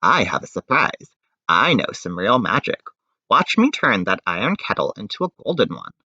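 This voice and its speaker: A man voicing a flustered, eager young village woman who shouts, wails and pleads in a storybook. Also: fairly low pitch, very animated, moderate pace, no room sound, highs cut off, heavily acted.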